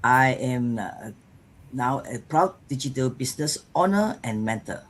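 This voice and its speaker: Slow, halting older woman from Brunei. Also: very deep voice, lively intonation, slight background noise.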